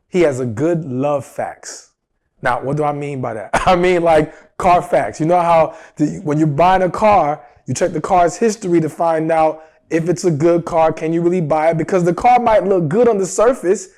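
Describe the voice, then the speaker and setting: A man with a fairly high-pitched voice talks straight to his audience like a coach, earnest and emphatic. His voice carries a slight roughness from a cold he is getting over. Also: normal speed, darkish tone. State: recovering from a cold. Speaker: dating advice host. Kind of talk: direct-to-camera advice talk.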